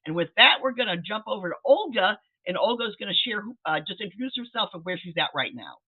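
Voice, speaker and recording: A man's voice: high voice, animated, fast, husky. A man moderating an online panel, upbeat and enthusiastic as he introduces the speakers. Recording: tinny video-call audio.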